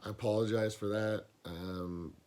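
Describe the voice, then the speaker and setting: A man with a hoarse, worn voice, rambling casually through a vlog with lots of fillers, recorded on a camera mic with no external microphone. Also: lowish voice, fairly even intonation, measured pace, darkish tone. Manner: rambling, many fillers and restarts. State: hoarse, losing his voice. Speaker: pipe smoking vlogger. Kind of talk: casual box opening vlog.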